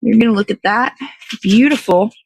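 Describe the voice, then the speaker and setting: Female morning-show host, fairly high pitch. Playful, loose and unscripted, rambling casually to viewers. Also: moderate pace, darkish tone, breathy edge, rough recording. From American South. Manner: slangy, playful, easygoing, thinking aloud. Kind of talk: casual rambling livestream chat.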